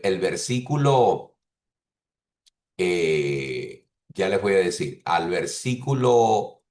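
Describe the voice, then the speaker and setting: Low-voiced male preacher in an echoey room. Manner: explains patiently, repeats and rephrases points; speaks bilingually of Spanish and English. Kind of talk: expository sermon teaching.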